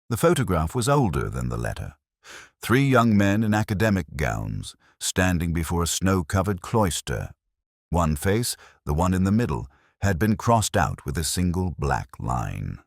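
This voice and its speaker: A melancholy, introspective detective, played by a man, recounts a case in measured, reflective prose. The voice is extremely deep, yet it rises and falls expressively. The sound is dry and close, suited to scripted mystery narration. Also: dry recording, full character voice. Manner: measured, reflective, literary phrasing.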